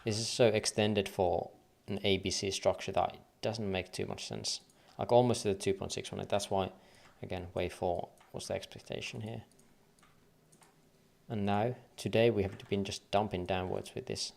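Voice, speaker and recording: A man's voice: on the low side, steady tone, moderate pace, vocal fry, darkish tone. A man from Finland with a light Finnish accent, thinking aloud and counting waves under his breath as he goes through charts on a casual trading livestream.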